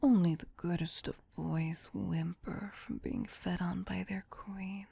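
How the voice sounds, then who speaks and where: Slow, breathy whispering vampire queen, acted by a woman. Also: fairly low pitch, steady tone, warm tone, heavily acted. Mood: teasing, praising. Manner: slow, soothing, intimate, coaxing. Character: doting, possessive vampire queen soothing her pet. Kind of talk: whispered ASMR roleplay.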